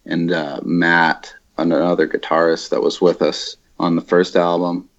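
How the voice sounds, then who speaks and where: A man speaking casually in an interview about his band, in a laid-back, unhurried way. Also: low voice, flat delivery, dull audio. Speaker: band guitarist and vocalist.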